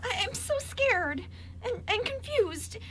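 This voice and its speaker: A woman's voice: very animated, takes it slowly, a bit bright, exaggerated voice, poor audio. A woman playing a tiny, timid female fairy in an amateur radio play, with a small voice and a stammering, tearful delivery. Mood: tearful, confused. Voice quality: small, high-pitched voice.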